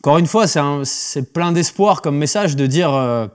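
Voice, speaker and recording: A man's voice: a little high, moderate pace, smooth. A man from France hosting a podcast, chatting casually and encouraging his guest. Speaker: podcast host. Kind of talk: casual interview chat.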